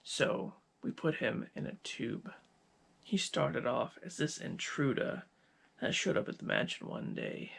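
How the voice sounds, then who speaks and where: Guy doing a smug evil scientist guy, really husky and creaky, slow menacing delivery, asmr roleplay monologue. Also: mid-range voice, animated, a bit bright, exaggerated voice. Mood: proud, coldly menacing. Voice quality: gravelly, husky. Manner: deliberate, menacing, theatrical pauses. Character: cold, gloating male scientist villain with superhuman powers.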